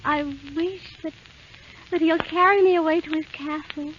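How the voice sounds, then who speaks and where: A woman's voice: very high voice, fairly even intonation, moderate pace, fairly bright tone. A woman playing a sweet, innocent young princess who speaks in a naive, dreamy way, in a 1930s radio drama. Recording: scratchy lo-fi 1930s radio broadcast.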